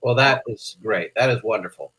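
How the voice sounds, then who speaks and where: A man's voice: on the low side, normal speed, pushed and bright, slightly rough, poor audio. A man hosting a children's program, interviewing his guest in an upbeat, effusive, encouraging way. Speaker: host of a children's story program.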